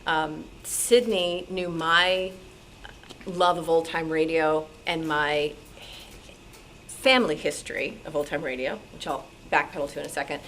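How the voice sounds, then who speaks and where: A woman from New York City telling personal anecdotes to a club audience in an animated way, acting out remembered dialogue. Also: sits low, a little slow. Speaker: radio drama actress and sound effects performer, guest speaker. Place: meeting room with audience. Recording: noisy room at a club meeting.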